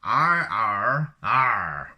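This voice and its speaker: A man's voice: lowish voice, very animated, pushed and bright, heavily acted. A man voicing a big hairy alien creature that growls and howls in short wordless bursts, as in a storybook reading. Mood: loud roaring. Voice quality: growling, howling. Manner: wordless roars and drawn-out moans in short bursts.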